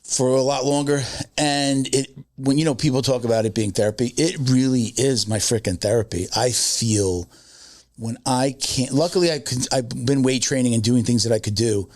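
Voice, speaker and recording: A man's voice: medium pitch, normal speed, darkish tone, no room sound, clean studio sound. A man in his fifties, a podcast co-host chatting casually, rambling with many fillers and restarts. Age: 50s. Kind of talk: casual chat.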